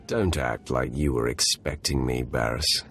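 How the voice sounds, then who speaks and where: An actor playing a confident, imposing dark warrior in a video game, speaking in a commanding, cold and defiant manner. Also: male voice, extremely low pitch, a little slow, full character voice. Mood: proud, assured. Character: confident, imposing young Sith warrior, the emperor's enforcer. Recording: music under the voice.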